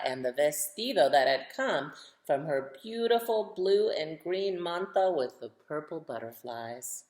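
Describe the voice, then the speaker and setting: A woman reading a picture book aloud to children, expressively, putting questions to her young listeners. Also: deep voice. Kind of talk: storytime read-aloud for children. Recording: roomy, echoing sound.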